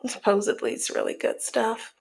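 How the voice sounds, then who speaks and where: A woman playing a teasing, dominant mob boss in an ASMR roleplay, with a breathy, husky voice that often slips into a whisper and a slyly menacing, sultry manner. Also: fairly high pitch, level tone, normal speed, exaggerated voice. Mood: sly, businesslike. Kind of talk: ASMR roleplay audio drama.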